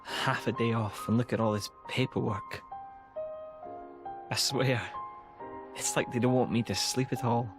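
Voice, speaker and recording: A gracious young male emperor voiced by a man for a game cutscene, thoughtful and gently authoritative. His formal, measured voice sits in the middle range, over clear music. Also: medium pitch, steady tone, close to an everyday voice. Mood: wry. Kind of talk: dramatic video game voice acting.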